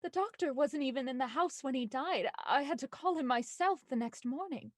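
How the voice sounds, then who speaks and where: Earnest young bereaved Victorian heiress, higher voice, close dry sound, audio drama. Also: female voice, animated, normal speed, no echo. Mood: hurt questioning. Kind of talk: audio drama murder mystery acting.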